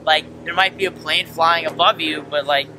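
A young man hosting a casual podcast, loud and rambling as he chats with his friend. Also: a little high, fairly even intonation, moderate pace, background noise. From California. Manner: loud, rambling, self-described loud voice. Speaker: podcast host. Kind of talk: casual chat.

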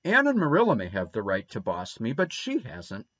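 A man's voice: medium pitch, huge pitch swings, moderate pace, a bit bright, no echo. A man reading aloud and voicing a defiant, rebellious young boy who talks indignantly and boastfully, on a dull lo-fi recording.

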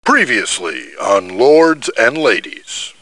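An actor playing a grandiose announcer for a television costume soap. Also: male voice, mid-range voice, sing-song, takes it slowly, sharp tone, a little hiss or hum, full character voice. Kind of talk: dramatic television promo announcing.

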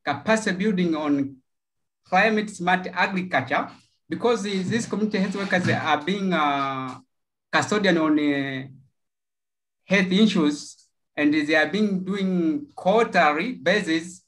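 A man from Tanzania, giving a prepared project pitch over a video call. He speaks haltingly, with many fillers and repeated words. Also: fairly bright tone, rough recording. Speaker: project pitcher from a local NGO.

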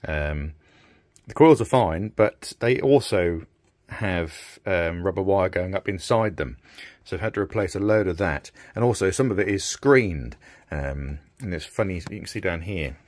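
Middle-aged man, lowish pitch, informal thinking-aloud workshop presenter, dry room sound. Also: expressive, measured pace, darkish tone, no room sound. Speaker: radio restorer presenting a repair video. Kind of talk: casual workshop commentary. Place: small indoor workshop at a bench.

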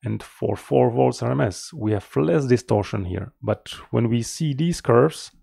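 A middle-aged man giving an explanatory technical presentation as a researcher, in a measured, slightly halting manner, close to the mic. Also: mid-range voice, vocal fry, warm tone, breathy edge, no room sound. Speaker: researcher presenting a conference paper.

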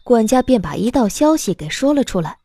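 Lively high-voiced woman narrator. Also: a little fast, no room sound, clean studio sound. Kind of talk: expressive scripted audiobook narration.

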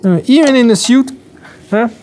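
A man's voice: very high-pitched, darkish tone, slight background noise. A man in his forties, a company CEO, speaking unscripted in a showroom, full of restarts and fillers. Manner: halting, many restarts and fillers. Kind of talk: unscripted corporate interview and demo. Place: large company showroom with machines.

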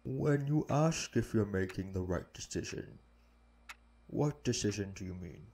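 A man playing a calm, protective orc head of security, speaking in a measured, reassuring way in an audio roleplay. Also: fairly low pitch, a little hiss or hum. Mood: concerned, reassuring. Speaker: voice actor. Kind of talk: intimate audio roleplay acting.

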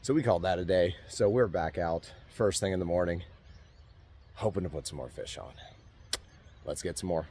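A young man in his twenties giving upbeat, casual commentary to his viewers while fishing, often talking to the fish and chuckling. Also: low-pitched. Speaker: fishing vlogger. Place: outdoors by a rushing stream. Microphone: action camera, chest or handheld. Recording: outdoor camera mic with loud stream noise. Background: rushing water.

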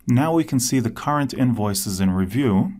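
A man narrating a scripted step-by-step software tutorial in a clear instructional manner. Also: medium pitch, lively intonation, moderate pace.

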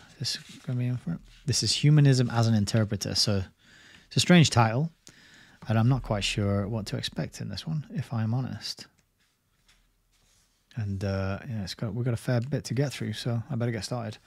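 A man in his forties from England with an English accent, chatting casually with livestream viewers from his home study on a boom mic, rambling and laughing often. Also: normal speed, gravelly, no room sound. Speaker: livestream host reading a book. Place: home study lined with bookshelves. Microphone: studio mic on boom arm.

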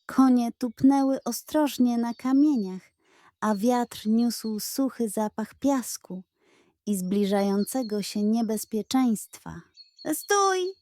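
A woman narrating a scripted bedtime adventure story for children in a slow, dramatic storytelling manner, recorded close on a studio microphone. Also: a little high, dark tone.

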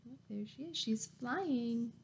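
High-voiced woman reading to little children. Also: lively intonation, slow pace, slight background noise. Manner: encouraging, asks questions and prompts the children. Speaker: teacher reading aloud to young children. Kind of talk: animated picture-book read-aloud for young children. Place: small plain room indoors at home. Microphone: webcam at arm's length.

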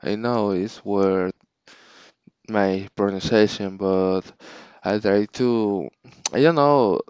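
Slow, halting man with a Spanish accent. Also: on the low side, darkish tone, smooth, no room sound, highs cut off. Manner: halting, searching for English words, frequent restarts. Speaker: channel owner. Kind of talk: casual unscripted vlog talk.

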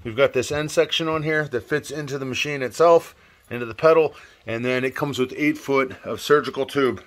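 A man's voice: mid-range voice, brisk. A man giving a casual, plain-spoken product walkthrough as a reviewer, offhand in manner, recorded on a handheld camera in a home basement music room.

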